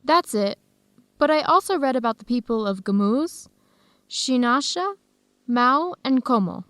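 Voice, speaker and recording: A woman's voice: sits high, animated, measured pace, fairly bright tone, dry recording. An actress playing a curious, friendly young woman researcher in an educational radio drama. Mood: curious, upbeat.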